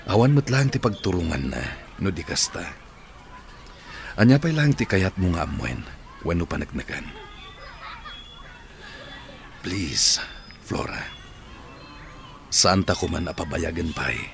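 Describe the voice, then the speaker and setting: A man's voice: deep voice, lively intonation, measured pace, vocal fry, pauses often, a little hiss or hum, full character voice. A man playing an earnest suitor in an Ilocano audio drama, speaking sincerely with an Ilocano accent. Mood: pleading. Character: earnest adult suitor promising a happy family. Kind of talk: Ilocano radio-style drama acting.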